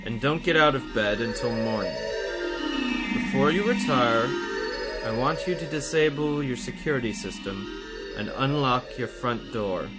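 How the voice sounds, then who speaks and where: An actor playing a vain, scheming hypnotist therapist in a radio mystery, with a deliberate, soothing yet commanding manner. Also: male voice, mid-range voice, expressive, over loud music, natural voice. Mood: commanding, sinister. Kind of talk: radio mystery drama acting.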